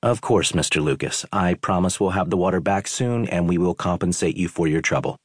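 A man voices a worn-out resort owner answering a complaint with strained politeness. The voice stays low and husky, recorded dry and close to the mic. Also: brisk, no room sound. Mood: strained polite. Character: weary male resort owner, politely tense.

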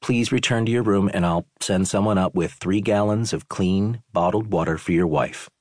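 An actor playing a weary male resort owner keeping polite under strain, speaking in a measured, courteous way. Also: low-pitched, darkish tone, no echo, clean studio sound.

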